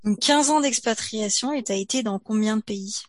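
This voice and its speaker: A woman's voice: a little high, moderate pace, dry recording. Female podcast host, conversational questions, dry recording. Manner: conversational, asks direct questions. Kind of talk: interview questions and show intro.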